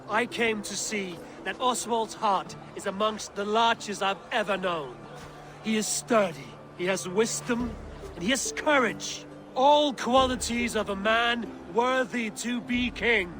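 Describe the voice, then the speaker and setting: An actor playing a stoic, blunt and loyal Viking warrior in a dramatic video game. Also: male voice, sits high, background noise. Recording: music behind the voice.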